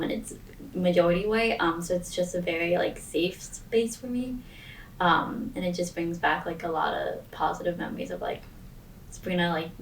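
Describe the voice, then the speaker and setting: Young woman student, low-ish voice, earnest and filler-heavy; distant mic in a roomy space with faint hiss. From Maine. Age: young adult. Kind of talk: casual discussion answer.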